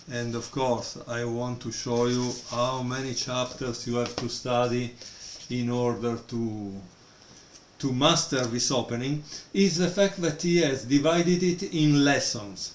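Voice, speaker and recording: Hesitant man, echoey room. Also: mid-range voice. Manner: hesitant, many fillers. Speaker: book reviewer. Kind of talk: casual video review. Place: room at home, storm outside. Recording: echoey room with faint noise.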